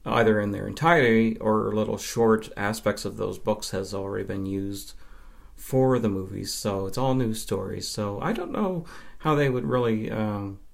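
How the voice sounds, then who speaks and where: A man's voice: lowish voice, normal speed, creaky. A man from Nova Scotia, Canada, chatting casually as an interview guest and film fan.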